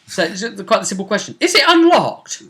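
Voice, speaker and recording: A man's voice: sits high, sing-song, fairly quick, a bit bright, slight background noise. A man chatting casually as game master, recapping a tabletop role-playing session and acting out bits of dialogue.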